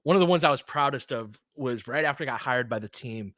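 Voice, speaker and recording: Male, medium pitch, informal basketball commentator, partisan fan, conversational, dry close-mic sound. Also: quick pace, fairly bright tone, no room sound. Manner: partisan home-team fan, conversational asides. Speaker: play-by-play commentator and analyst.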